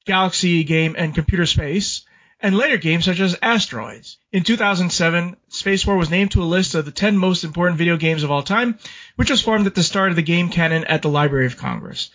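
A man's voice: sits high, a little fast, fairly bright tone. A man co-hosting a gaming podcast, reading a history segment and chatting with frequent restarts and repeated words. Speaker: podcast co-host. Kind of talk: casual podcast chat and read-out history segment. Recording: lo-fi, dull sound.

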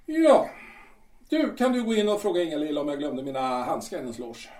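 A man's voice: a little high, animated, a little mellow, echoey room, far from the mic, close to an everyday voice. An actor playing Peter, a vain, irritable male stage star who swears and grows flustered. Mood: dismissive. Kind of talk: audio drama acting.

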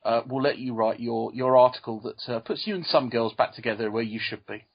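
A man's voice: medium pitch, brisk, vocal fry, slightly rough. A man co-hosting a podcast in casual, jokey chat. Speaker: podcast co-host. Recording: dull lo-fi sound.

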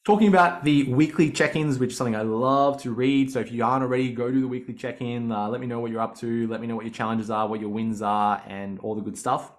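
A man, hosting a podcast in a chatty, upbeat coaching style, laughing often and poking fun at himself. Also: mid-range voice. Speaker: podcast host. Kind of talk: casual coaching monologue.

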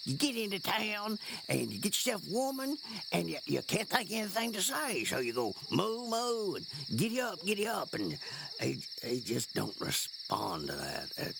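A man playing an awkward, lonesome cowboy rambling haltingly and deadpan in a comic monologue. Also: high voice, gravelly, background noise, full character voice. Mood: earnest, hesitant.

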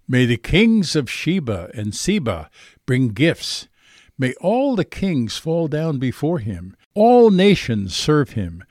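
A man recites scripture solemnly in a mid-pitched voice. His delivery is slow and declamatory, with wide rises and falls in intonation. Each phrase is measured. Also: darkish tone, no echo, studio quality. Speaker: scripture reader.

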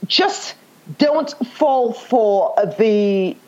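A woman with a Nigerian accent talks in a casual conversation, emphatic and animated. Her pitch rises and falls a great deal as she tells her stories. The recording sounds dull and lo-fi. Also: drawn-out words. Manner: animated, emphatic storytelling with laughs. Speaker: guest.

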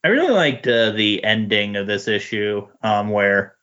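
A man's voice: fairly low pitch, very animated, a little slow, bright, forceful tone. A man chatting casually about comic books as a podcast guest, in a hesitant, agreeable manner. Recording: dull, lo-fi recording.

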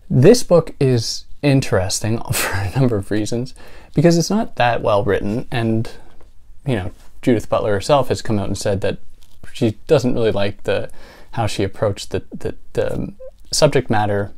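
A man's voice: lowish voice, normal speed, a little mellow. A young man hosting his own video channel, talking casually and thoughtfully about books, with a soothing voice and many fillers. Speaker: book channel host. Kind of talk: casual vlog talk.

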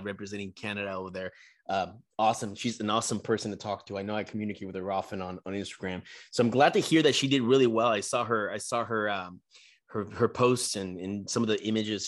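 A man in his forties with a fairly low voice, chatting as host of a casual interview. He talks in an encouraging way and often doubles back on his words mid-sentence. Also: a bit bright. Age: 40s. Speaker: podcast host.

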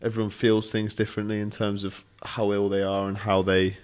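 A young man with a fairly low voice co-hosts a casual podcast. He talks in an easygoing way and likes to tease. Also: level tone, brisk, clean tone. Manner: laid-back, playfully mocking. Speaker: podcast co-host. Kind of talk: casual chat.

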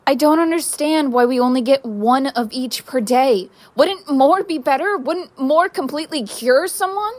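A woman plays a young daughter in an audio drama with a high voice. She is defiant and wounded, arguing in a raw, confrontational way. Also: normal speed, few pauses, close to an everyday voice.

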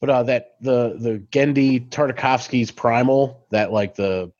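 A man's voice: medium pitch, steady tone, unhurried, a little mellow, dry recording. Laughing, cursing man on a dull call. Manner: laughs often, swears freely, rambling. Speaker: podcast co-host. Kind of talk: casual chat. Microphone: home mic on a video call.

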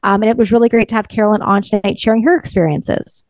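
A woman's voice: mid-range voice, quick pace, darkish tone, no echo, studio quality. A woman from Canada's West Coast co-hosting a podcast, chatting in a friendly way with fillers. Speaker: podcast co-host. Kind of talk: casual podcast chat.

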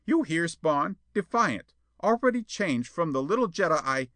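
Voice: mid-range voice, sing-song, normal speed, a bit bright, no room sound, pitched above the performer's own voice. A man voicing a crafty, accusing male villain in a storybook, sly, needling and insistent.

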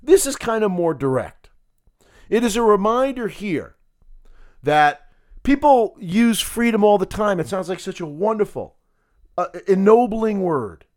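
A man hosting a political podcast, talking solo in a sarcastic, combative way with a gravelly voice. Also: sits high, big pitch swings, a little slow. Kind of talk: opinionated solo commentary.

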